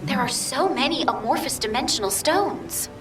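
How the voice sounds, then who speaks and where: Earnest game operator, high voice, urgent briefing manner, slightly distant, music behind. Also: female voice, animated, normal speed, roomy sound. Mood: focused. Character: earnest, alert female operations guide briefing soldiers. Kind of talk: video game dialogue.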